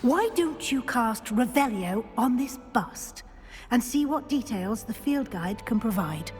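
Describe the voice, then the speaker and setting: Brief: brisk British schoolmistress of magic, middle-aged, fairly high pitch, formal and exacting, video game cutscene with music behind. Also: female voice, lively intonation. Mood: brisk, instructive. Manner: formal, precise, instructive. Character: brisk, proper female professor at a wizarding school.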